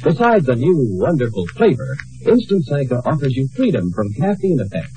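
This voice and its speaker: A man's voice: medium pitch, sing-song, normal speed, warm tone, slight background noise, low-quality recording. A man delivering a persuasive 1950s radio advertisement. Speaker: commercial announcer. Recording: old-time radio broadcast recording.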